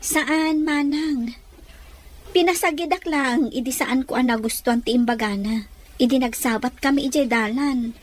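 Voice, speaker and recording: A woman's voice: sits high, normal speed. An actress playing a caring young woman, a helpful neighbour, in a Filipino radio drama. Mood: earnest, troubled.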